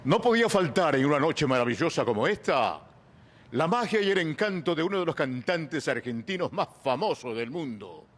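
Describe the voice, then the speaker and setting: A man's voice: on the high side, expressive, moderate pace. A man giving a grand, theatrical stage introduction as an announcer, declamatory and drawing his words out. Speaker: show announcer.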